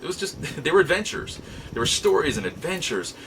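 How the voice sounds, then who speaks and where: A middle-aged American man telling nostalgic personal stories in a casual, halting, reflective way with drawn-out pauses. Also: a little high, sing-song, fairly bright tone, a little hiss or hum, low-quality recording. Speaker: podcast host. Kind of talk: casual nostalgic storytelling monologue.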